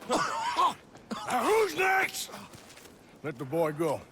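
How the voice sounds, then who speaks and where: An actor playing a menacing American outlaw gunman with a protective streak, in gruff video game drama style. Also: extremely high pitch, wildly swooping pitch, drawn-out words, background noise, full character voice. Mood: angry, then stern.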